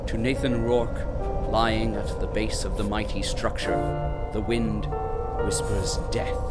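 A man narrating an audio drama in a grave, scripted storytelling manner. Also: medium pitch.

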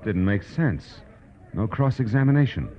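Man acting sardonic American private eye, low voice, clipped delivery, old radio drama, dull sound. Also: animated, normal speed, natural voice. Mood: wry. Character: hard-boiled male private detective, wry and worldly. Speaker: lead actor and narrator. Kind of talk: 1940s radio noir narration. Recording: dull old radio recording, music behind.

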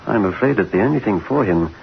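Grave, clinical physician, gravelly lower register, old radio play. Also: male voice, expressive, quick pace. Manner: clinical, authoritative. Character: matter-of-fact male doctor giving grave news. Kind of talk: old-time radio drama acting. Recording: dull vintage radio recording, faint noise.

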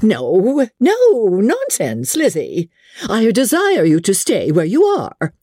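A woman voicing a fussy, excitable, bossy middle-aged mother with a fluttery, insistent manner, in a dramatic audiobook reading of a classic novel. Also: medium pitch, slow pace, dry recording, clean studio sound, voice pushed up, exaggerated voice.

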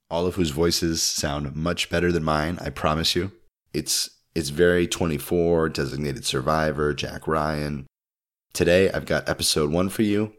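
A man hosting a podcast, giving a scripted introduction in a conversational way. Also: extremely low pitch. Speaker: podcast host.

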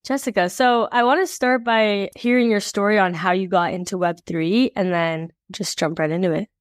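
A woman hosting a podcast with a smooth, clear voice, recorded close and dry. She talks in a relaxed, chatty way and introduces her guest informally. The sound is intimate, with no room echo. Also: mid-range voice, moderate pace. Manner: relaxed, chatty, uses fillers. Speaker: podcast host.

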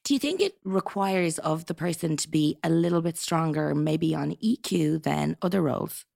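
A woman's voice: deep voice, moderate pace, vocal fry, a little mellow. A woman interviewer putting questions to a guest in a conversational style.

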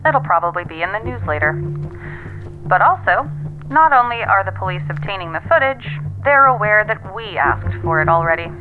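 A woman's voice: mid-range voice, animated, moderate pace. A woman acting in an audio drama as a sardonic, self-assured investigator relaying findings matter-of-factly over a phone line. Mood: grave, confiding. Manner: matter-of-fact, dryly wry. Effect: telephone filter. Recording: tinny phone line, music underneath.

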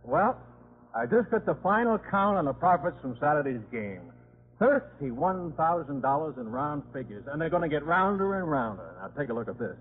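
A man's voice: fairly high pitch, sing-song, normal speed, breathy edge, narrow, tinny audio. A man playing a roguish football coach and father with a casual, slangy manner in a 1950s radio drama. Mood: upbeat. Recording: very thin vintage 1950s radio recording.

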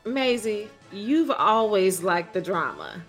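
Drama mother: middle-aged, folksy, knowing, very lively intonation. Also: mid-range voice, slow, faint music behind. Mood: knowing, teasing. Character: shrewd, proud mother who needles knowingly. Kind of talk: scripted drama dialogue.

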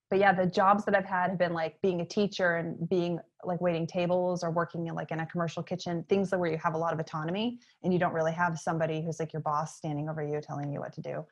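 A woman's voice: lowish voice, dull audio. A woman in her thirties from Maine, telling stories in a chatty interview with lots of fillers. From Maine, USA. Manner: chatty, frequent fillers, lively emphasis. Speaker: interview guest, entrepreneur. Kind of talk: casual conversational storytelling.

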